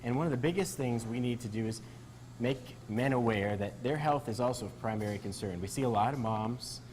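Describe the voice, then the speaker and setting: Brief: male doctor guest, even mid-pitched voice, calm explainer on a news segment. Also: a little fast, slight background noise, low-quality recording. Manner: explanatory, steady. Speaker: physician guest. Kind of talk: TV interview.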